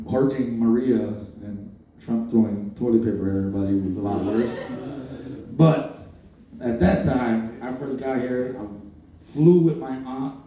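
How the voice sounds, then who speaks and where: A man's voice: mid-range voice, warm tone, a little hiss or hum. Puerto Rican-accented man, roomy and distant. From Puerto Rico. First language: Spanish. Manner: rambling, self-deprecating, improvised storytelling. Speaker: stand-up comedian. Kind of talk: open-mic stand-up set. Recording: distant, roomy live recording.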